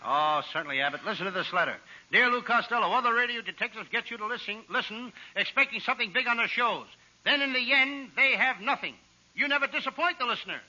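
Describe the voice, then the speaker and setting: A comedian from the golden age of radio, his voice high and very bright, rattling through gags with a boyish, flustered delivery. The recording has the dull, muffled sound of an old broadcast. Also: quick pace. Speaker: comedian, star of the show. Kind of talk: 1940s radio comedy sketch.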